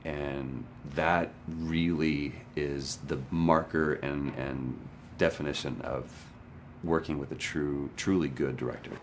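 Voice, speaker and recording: Male actor-raconteur, extremely deep pitch, animated anecdote delivery with acted-out quotes. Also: slow, a little hiss or hum. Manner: animated storyteller, acts out quoted dialogue. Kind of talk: personal anecdote on stage.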